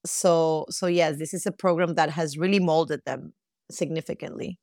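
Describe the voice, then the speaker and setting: A woman's voice: sits low, fairly even intonation, moderate pace, darkish tone, dry recording, studio quality. A middle-aged woman from Costa Rica answering as a guest in a conversational interview.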